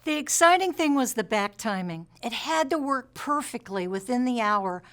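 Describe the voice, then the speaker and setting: Woman recounting events with measured emphasis. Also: a little high, animated, fairly bright tone. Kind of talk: storytelling documentary interview.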